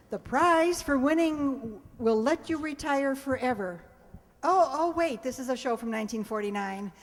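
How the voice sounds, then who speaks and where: Woman, live show host, slightly high voice, informal stage announcing, long hall reverb. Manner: informal, coaxing, ad-libbed asides. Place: hall before a live audience.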